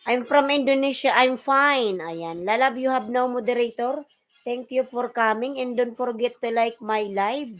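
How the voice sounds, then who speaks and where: A woman with a Filipino accent and Tagalog as her first language, hosting a casual livestream and repeatedly calling out names and shout-outs to viewers. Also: on the high side, moderate pace. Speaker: livestream host. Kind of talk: casual live-stream chat, greeting viewers and reading comments.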